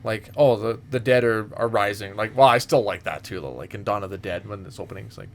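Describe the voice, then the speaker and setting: A man's voice: on the low side, quick pace, vocal fry. A man co-hosting a podcast, chatting casually and loosely, with some room noise around him. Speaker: podcast co-host.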